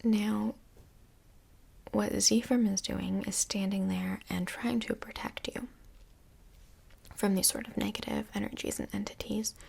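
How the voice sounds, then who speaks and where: A woman playing a calm, reassuring couples' counsellor in a soft-spoken, breathy, mostly whispered ASMR role play, gentle and measured. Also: mid-range voice, heavily acted.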